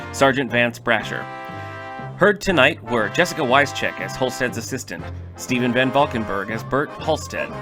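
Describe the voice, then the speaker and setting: A man announcing a radio crime drama in a formal, measured, old-time broadcast style. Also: medium pitch.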